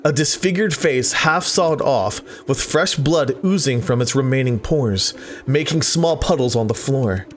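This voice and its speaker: Animated man reading a story game aloud. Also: unhurried, a little hiss or hum. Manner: dramatic reading with high-pitched joking asides. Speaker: gaming video host. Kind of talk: let's play, reading visual novel text aloud.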